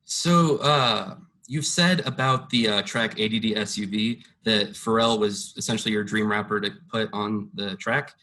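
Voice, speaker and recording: A man's voice: fairly low pitch, normal speed, hardly any pauses, sharp tone, a little hiss or hum. A young man interviewing a musician for student radio, asking questions hesitantly with frequent uhs and restarts.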